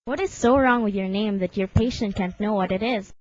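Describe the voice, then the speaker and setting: A woman's voice: mid-range voice, a little fast, crowd noise, natural voice. A young woman playing a desperate, stubborn parent of a patient in a student radio drama. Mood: insistent, desperate. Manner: insistent, argumentative. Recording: muffled amateur recording.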